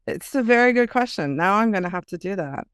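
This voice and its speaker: Female author guest, smooth clear voice, animated conversational storytelling, dry close sound. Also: mid-range voice, fairly quick, no echo. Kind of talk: casual interview chat.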